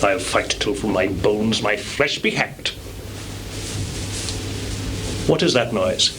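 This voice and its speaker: An actor playing a weary, bitter, aging warrior king in a live Shakespearean stage recital, declaimed deliberately with heavy pauses. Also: lowish voice, expressive. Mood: defiant. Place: small theatre stage, live performance. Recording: noisy 1980s live theatre recording.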